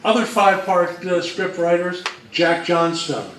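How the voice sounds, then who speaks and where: A man with a slightly high voice gives an informal prepared presentation. He explains things in orderly lists. The sound is very roomy and a bit distant, with faint noise behind him. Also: steady tone, unhurried, fairly bright tone. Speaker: co-presenter of a history talk. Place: room presentation before an audience.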